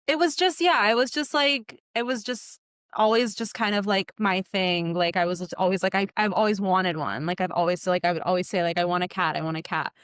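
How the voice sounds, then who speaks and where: A woman's voice: medium pitch, fairly quick, fairly bright tone, clean tone, no echo. A young woman from Egypt, a podcast guest, talking casually and rambling, with heavy "like" fillers.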